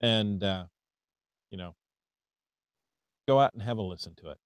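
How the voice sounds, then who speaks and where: A man's voice: fairly low pitch, measured pace, clean tone, no room sound, studio quality. An American man co-hosting a casual hobby podcast, reading listener emails aloud and stumbling over foreign words. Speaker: podcast co-host.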